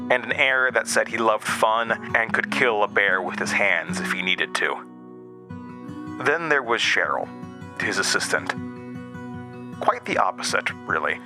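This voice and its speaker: A man narrating a scripted first-person horror audio drama as a storyteller, with dramatic pauses. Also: on the low side. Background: music, plucked guitar.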